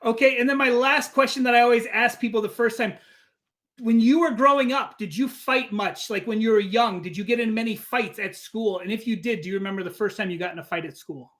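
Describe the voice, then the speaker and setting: A man's voice: high-pitched, brisk, a bit bright. A man speaking as an interviewer, asking questions in a casual, conversational style.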